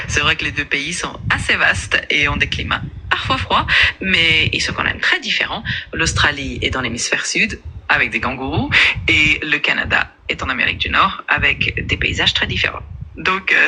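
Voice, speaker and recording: A man's voice: a little high, normal speed, a little hiss or hum. A man's voice as an AI assistant, explaining patiently and politely, with small filler sounds. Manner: patient, even-keeled, with soft filler sounds.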